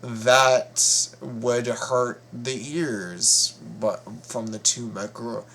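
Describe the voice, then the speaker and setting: A man hosting a casual reaction vlog, talking haltingly with repeated words and stammers. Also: mid-range voice. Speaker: reaction video host. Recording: steady background noise.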